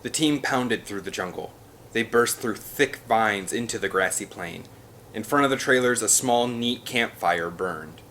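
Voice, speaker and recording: A man's voice: fairly low pitch, measured pace, pushed and bright, a little hiss or hum. A man reading a children's adventure novel aloud with an emphatic, theatrical delivery. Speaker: audiobook reader. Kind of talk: dramatic scripted narration of a children's adventure novel.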